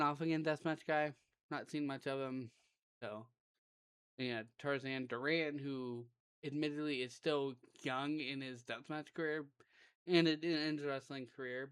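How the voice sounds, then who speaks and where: A man with a raspy voice reviewing wrestling matches in a rambling, conversational way, often correcting himself mid-sentence. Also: a little high, steady tone, a little slow, dry recording. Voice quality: raspy right now. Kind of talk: casual solo show review.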